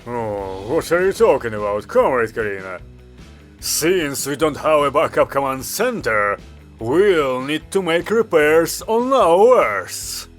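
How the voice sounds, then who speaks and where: A man's voice: on the high side, sing-song, slow pace, faint music behind. A man voicing a curt, weary male commander giving orders with dry sarcasm. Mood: commanding.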